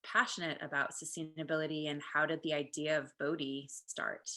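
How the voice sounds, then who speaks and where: A woman's voice: sits low, level tone, moderate pace, pushed and bright. A woman, hosting a casual interview with enthusiastic, slangy talk. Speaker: interview host. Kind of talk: casual chat.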